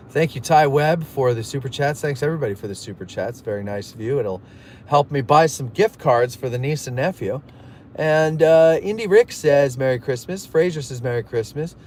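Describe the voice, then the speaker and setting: A man's voice: mid-range voice, a little mellow, a little hiss or hum. A man hosting a casual live stream, rambling through stories and jokey asides while reading viewer messages. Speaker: livestream host. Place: in a moving car.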